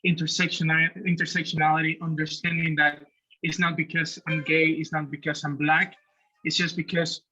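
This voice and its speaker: Male panelist, twenties, native Spanish speaker with Dominican accent, slightly high voice, a bit lo-fi. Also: sharp tone. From Dominican Republic. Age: 20s. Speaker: human rights lawyer on a panel. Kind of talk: introducing himself in a discussion.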